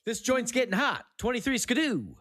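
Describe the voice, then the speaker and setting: A snappy 1940s pal, as a podcast host acts it out, full of old movie punch. The delivery is hammy and swings up and down in pitch. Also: big pitch swings, moderate pace, fairly bright tone, no room sound. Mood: urgent. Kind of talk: 1940s movie slang acting.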